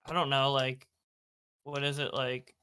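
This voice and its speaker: A man's voice: medium pitch, level tone, a little slow, pushed and bright, smooth. A man joining a stream as a guest, rambling with many fillers and casual swearing. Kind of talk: casual livestream chat.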